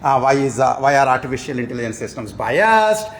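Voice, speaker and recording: A man's voice: mid-range voice, sing-song, a little fast, slight background noise. A man from India, a professor giving a conference award lecture with joking asides. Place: conference hall before an audience.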